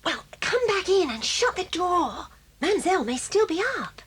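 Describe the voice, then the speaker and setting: A woman's voice: very high-pitched, sing-song, a little slow, noisy background, exaggerated voice. A woman playing a bossy, outspoken boarding school girl with a British accent and an emphatic delivery in an audio drama.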